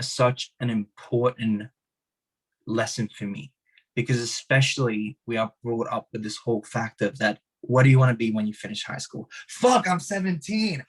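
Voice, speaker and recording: A man's voice: mid-range voice, moderate pace, breathy edge, low-quality recording. A young man from Hungary with a Hungarian accent, a podcast guest talking with passion about life choices, swearing for emphasis and coming back to his motto. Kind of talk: motivational interview talk.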